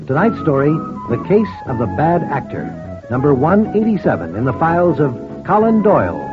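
A man's voice: fairly high pitch, big pitch swings, normal speed, over music. A man playing a solemn crime-series announcer, formal and dramatic, in scripted vintage radio style. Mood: grave, formal. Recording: thin, tinny old radio recording.

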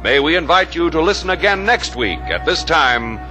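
A man's voice: fairly high pitch, big pitch swings, moderate pace, sharp tone, faint music behind. A man announcing in a formal, grand mid-century radio style.

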